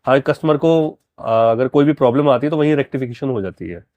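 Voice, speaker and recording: Man, mid-pitched, dryly recorded. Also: lively intonation, brisk, darkish tone, no room sound, highs cut off. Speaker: car audio dealer and installer. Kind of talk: conversational product explanation.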